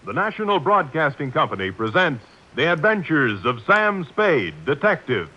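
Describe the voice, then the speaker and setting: A man's voice: on the high side, very animated, moderate pace. An American man announcing a programme in grand, scripted 1940s radio style. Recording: dull vintage radio recording with music.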